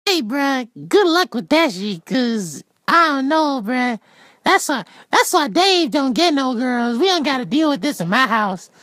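A woman's voice: high voice, animated, measured pace, fairly bright tone, no echo, studio quality. A woman voicing a brash, loyal kid who talks in combative, repetitive slang. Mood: dismissive.

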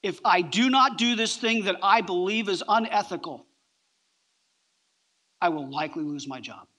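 A middle-aged man preaching a sermon on a church stage, emphatic, with deliberate pauses between short phrases. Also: sits high, animated, sharp tone. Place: large church auditorium, on stage.

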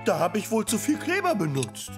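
A man's voice: high-pitched, wildly swooping pitch, a little fast, music in the background. A man voicing a clumsy, anxious but eager young fireman in a children's cartoon, flustered and hesitant. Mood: sheepish. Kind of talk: animated children's series dubbing.

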